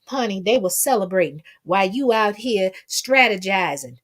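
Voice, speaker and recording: A woman's voice: medium pitch, animated, normal speed, fairly bright tone. A woman hosting casual gossip commentary, talking to her viewers in a sassy, folksy, emphatic way and repeating phrases for effect. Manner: folksy, colloquial, addresses listeners with pet names. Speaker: host of a commentary livestream.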